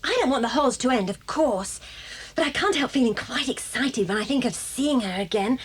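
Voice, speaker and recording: British schoolgirl twin, brisk, gushing, bossy, very animated, children's radio play. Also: fairly high pitch, husky. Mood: excited, gushing. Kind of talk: children's audio drama.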